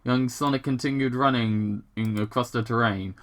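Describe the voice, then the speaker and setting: A host reading fan fiction aloud in a casual dramatic style, halting and excitable, breaking off into rambling, self-deprecating commentary. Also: mid-range voice.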